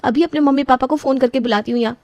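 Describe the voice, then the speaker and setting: A scheming young bride bursts into frantic self-talk and sharp outrage, as a woman acts her out. The voice sits fairly high, and the recording is dry and close. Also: very fast, no room sound, highs cut off, close to an everyday voice. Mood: furious. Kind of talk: animated cartoon voice acting.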